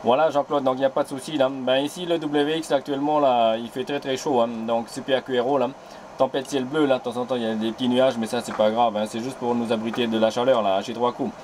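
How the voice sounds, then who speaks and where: Radio operator from New Caledonia, man, even-paced, light background hiss. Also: medium pitch, fast. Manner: relaxed, fills talk with "là". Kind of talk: CB radio contact.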